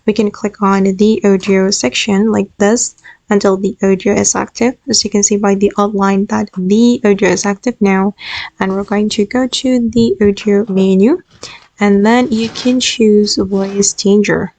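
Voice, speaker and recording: A woman narrates a step-by-step software tutorial as its host. Her voice sits at a middle pitch. The recording is close and dry, with hardly any room sound. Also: steady tone, unhurried, no room sound.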